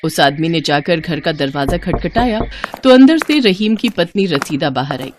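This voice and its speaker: A woman's voice: low voice, sing-song, moderate pace, darkish tone, slight background noise. A woman narrating a scripted moral story as a dramatic storyteller.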